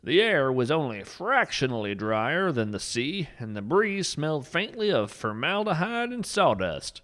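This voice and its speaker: A man's voice: fairly high pitch, big pitch swings, unhurried, a bit bright. Folksy naturalist storyteller, played by a man, swooping intonation. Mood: eerie storytelling. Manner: folksy, theatrical storyteller delivery. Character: folksy eccentric male naturalist adventurer, earnest and whimsical. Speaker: podcast host and storyteller. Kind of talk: scripted fiction podcast narration.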